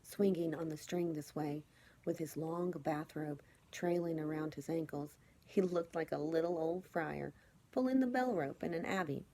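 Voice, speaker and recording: A woman teacher reading a children's storybook aloud, phrasing it clearly and expressively for young listeners. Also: deep voice, normal speed, dark tone.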